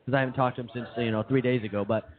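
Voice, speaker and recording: A man's voice: mid-range voice, steady tone, rapid-fire. A man co-hosting a comedy radio show with chatty, insistent banter, repeating himself. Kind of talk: casual comic banter. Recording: tinny lo-fi, crowd chatter behind.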